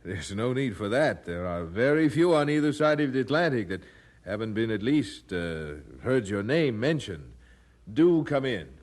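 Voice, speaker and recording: A grave, earnest preacher of middling pitch in a radio drama. He speaks in formal, measured sentences with steady courtesy. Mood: courteous. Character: earnest, grave English preacher, travelling chaplain, man.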